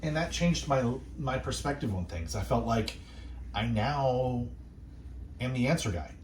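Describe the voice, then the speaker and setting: A man hosting radio, delivering reflective, encouraging monologues in a measured, earnest way with deliberate pauses. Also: mid-range voice, expressive, reverberant, distant mic, a little hiss or hum. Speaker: radio host and station manager.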